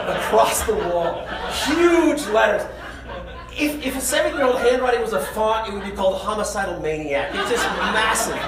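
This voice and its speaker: A man tells a funny story live on stage, conversational and animated, poking fun at himself. His voice rings in an echoey room, with some background noise around it. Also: high-pitched. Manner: animated, conversational, self-deprecating. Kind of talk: comedic stage storytelling to an audience.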